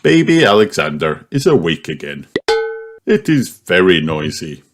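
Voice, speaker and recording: A man reading a children's story aloud in an animated, expressive storytelling manner. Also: sits low, a little slow. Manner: animated, puts on character voices. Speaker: audiobook reader. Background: music.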